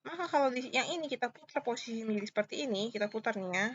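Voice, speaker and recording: A woman's voice: on the high side, animated, rapid-fire, muffled recording, a little hiss or hum. A woman with an Indonesian accent giving an informal step-by-step tutorial as a lecturer, repeating points and checking understanding with short questions. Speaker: accounting lecturer.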